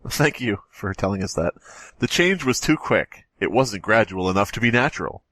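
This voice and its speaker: A man's voice: lowish voice, animated, moderate pace, bright, forceful tone, dry recording. A man co-hosting a podcast, reading a story aloud in a mock-dramatic way with joking asides. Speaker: podcast co-host.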